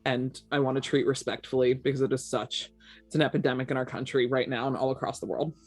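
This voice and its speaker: A woman's voice: a bass voice, fairly even intonation, fast talker, darkish tone, faint music behind. An American woman talking casually and candidly, with some swearing, in a debrief after a tabletop roleplaying game.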